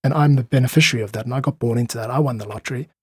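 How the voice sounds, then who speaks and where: A man's voice: mid-range voice, rapid-fire, husky, no room sound, studio quality. A South African man speaking earnestly and thoughtfully in a reflective interview, voicing his opinions as a guest. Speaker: podcast guest, independent musician.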